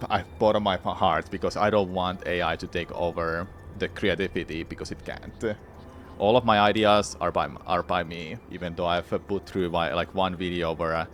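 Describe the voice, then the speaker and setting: A man's voice: deep voice, a little hiss or hum. A man from Finland with a Finnish accent, chatting casually with his viewers during a game playthrough, rambling and swearing freely. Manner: rambling, frank, swears freely, many restarts. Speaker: gaming streamer.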